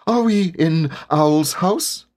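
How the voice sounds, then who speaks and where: A man's voice: fairly high pitch, takes it slowly, darkish tone, voice pushed up. A man voicing a small, timid and anxious piglet in a storybook reading, with a nervous manner.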